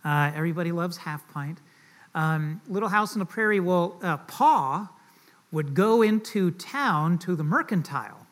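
A man's voice: sits high, animated, measured pace, a bit bright. Joking, conversational man preaching a sermon. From Southern United States. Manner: conversational and joking, asks the audience questions. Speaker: pastor.

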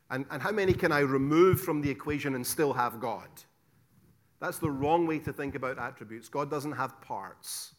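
Man, Scottish accent, middle-aged, lecturing on doctrine, higher pitch, punchy stresses, soft asides. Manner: emphatic, swings between loud stresses and quiet asides, rhetorical questions. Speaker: preacher teaching theology. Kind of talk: theology lecture.

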